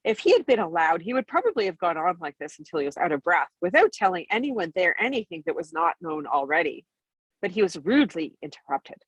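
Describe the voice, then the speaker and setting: Lady reading a bedtime story out loud, bit raspy, very up and down, expressive, dry sound. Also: on the low side, brisk, dry recording, poor audio. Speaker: storybook reader.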